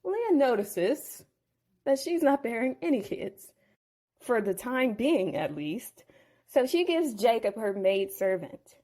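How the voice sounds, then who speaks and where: A woman's voice: a little high, sing-song, slow pace, dark tone. Playful woman retelling a bible story. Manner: playful, chatty asides.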